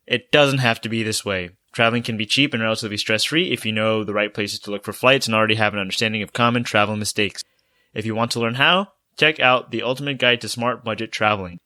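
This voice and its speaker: A man's voice: medium pitch, bright, forceful tone, no room sound, studio quality. A man hosting a podcast, reading an ad and introducing his guest in an upbeat, scripted way. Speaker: podcast host.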